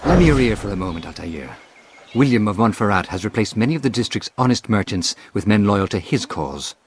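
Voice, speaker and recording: A discreet, earnest informant in a game cutscene. His voice is husky and fairly low, with a formal delivery. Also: animated, fairly quick. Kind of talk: scripted video game cutscene acting.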